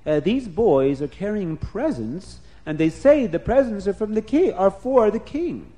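Awed palace officer in a children's tale, voiced by a man: high pitch, halting, stretched words, eager to report. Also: animated, dark tone.

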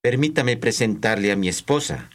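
Man, low voice, animated pitch, careful enunciation, course dialogue reader. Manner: slow, careful enunciation for learners. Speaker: language-course dialogue and model speaker. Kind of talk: scripted dialogue reading.

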